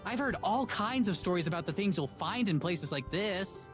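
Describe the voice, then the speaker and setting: A woman's voice: on the low side, animated, normal speed, lightly acted. Bold, cocky young adventuress, acted by a woman. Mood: excited. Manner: brash, enthusiastic, cocky. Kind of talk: visual novel voice acting. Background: game music.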